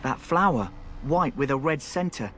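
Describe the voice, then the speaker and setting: A man's voice: medium pitch, animated, normal speed, fairly bright tone, a little hiss or hum, close to an everyday voice. A man voicing a cheeky, plain-spoken rogue recruit in a video game, colloquial and sardonic. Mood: casual, sly.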